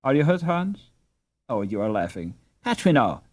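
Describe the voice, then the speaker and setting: A man voicing a lively twelve-year-old girl from a storybook, teasing and tender, in an exclaiming, playful manner. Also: medium pitch, normal speed. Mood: playful, laughing.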